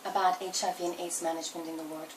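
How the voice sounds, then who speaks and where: A woman in her forties from Belgium, talking in a relaxed interview and thinking aloud with fillers and restarts. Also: lowish voice, rapid-fire. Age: 40s. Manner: thoughtful, with fillers and self-corrections. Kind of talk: casual interview. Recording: roomy, distant mic with faint noise.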